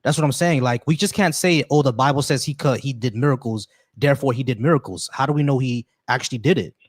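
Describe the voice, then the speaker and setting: A man hosting a call-in stream, arguing quickly and repeating phrases to make his point. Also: medium pitch, dry recording, clean studio sound. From United States. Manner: rapid, argumentative, repeats phrases. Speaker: host. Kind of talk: casual debate on a call-in stream.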